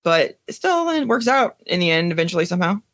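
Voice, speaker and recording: A woman's voice: lowish voice, big pitch swings, fairly quick, fairly bright tone. A woman co-hosting a TV review podcast, chatting casually. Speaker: podcast co-host reviewing TV series. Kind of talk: casual chat.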